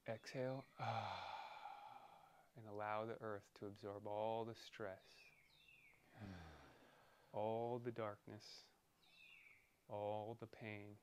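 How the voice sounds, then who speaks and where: A man leading a guided meditation in a soothing, deliberate manner, pausing between short phrases. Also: fairly low pitch, little pitch movement, a little mellow, a little hiss or hum.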